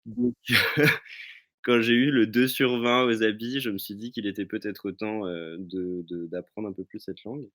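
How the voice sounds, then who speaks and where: Deep voiced guy on a podcast, telling stories, keeps restarting his sentences. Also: a bit bright. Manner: halting, searching for words with repeated restarts. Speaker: podcast guest. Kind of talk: casual chat, personal anecdotes. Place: remote recording from own location.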